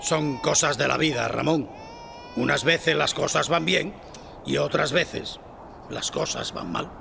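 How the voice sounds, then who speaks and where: Radio play old man: sententious elderly gentleman, heavy croak, piercing edge. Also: fairly high pitch, steady tone, measured pace, slight background noise, heavily acted. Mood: resigned. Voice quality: gravelly, creaky. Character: banal, philosophising elderly gentleman. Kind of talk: radio drama dialogue.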